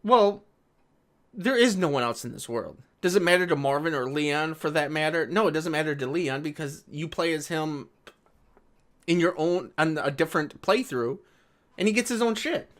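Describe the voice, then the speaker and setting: A man with a voice pitched a little high. He delivers off-the-cuff commentary in a sarcastic, exasperated way, with plenty of swearing. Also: animated, moderate pace, fairly bright tone. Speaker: video commentator. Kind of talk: casual reaction commentary on a read-aloud article.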